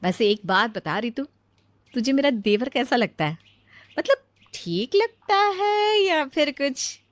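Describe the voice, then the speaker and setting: A woman's voice: high-pitched, big pitch swings, takes it slowly, no echo, voice pushed up, full character voice. A woman voicing a chatty young daughter-in-law in a cartoon story, coaxing and teasing. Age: young adult. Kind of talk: acted cartoon story dialogue.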